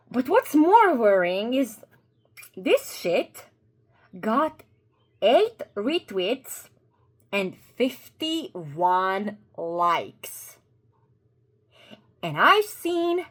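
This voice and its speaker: A woman from Croatia with a Croatian accent, English not her first language, speaking in a halting, earnest way with many pauses and restarts in a commentary vlog from her desk. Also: a little high. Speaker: YouTuber. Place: at a desk with two monitors.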